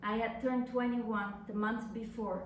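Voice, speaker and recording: A woman's voice: a little high, level tone, pitched below the performer's own voice, close to an everyday voice. A woman performing a dramatic monologue as an anguished young adult daughter addressing her mother, with impassioned, theatrical delivery. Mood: pained, reproachful. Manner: impassioned, deliberate, theatrical delivery with long pauses. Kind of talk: dramatic literary monologue reading on stage. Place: reverberant indoor venue at a live reading. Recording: echoey room, distant mic, faint noise.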